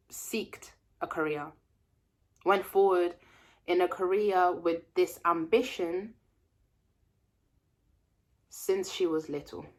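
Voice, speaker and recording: Young woman doing a casual read-along, lowish voice, stops to guess words. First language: French. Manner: reads slowly, pauses to translate and guess words. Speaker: language learner reading aloud.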